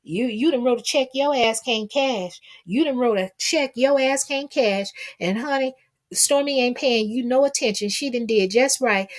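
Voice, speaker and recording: Sassy lady doing gossip commentary, kinda high voice, folksy and emphatic, says stuff twice for effect. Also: normal speed. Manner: folksy, colloquial, addresses listeners with pet names. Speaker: host of a commentary livestream. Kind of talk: casual reality-TV gossip commentary, talking to viewers.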